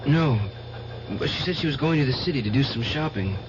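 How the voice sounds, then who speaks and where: A man's voice: mid-range voice, fairly quick, highs cut off, lightly acted. Actor as intense young man, noisy old radio. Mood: uneasy, defensive. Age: young adult. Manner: defiant, intense. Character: grief-stricken young nightclub performer, obsessed with revenge. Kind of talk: 1970s radio drama acting.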